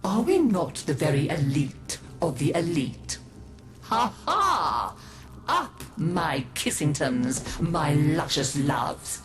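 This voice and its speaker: A woman's voice: low-pitched, very animated, slow, over music, heavily acted. A woman voicing vain, decadent young aristocrats in a game's storybook tale, with affected, haughty flamboyance. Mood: bored, haughty. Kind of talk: storybook character voices in a video game.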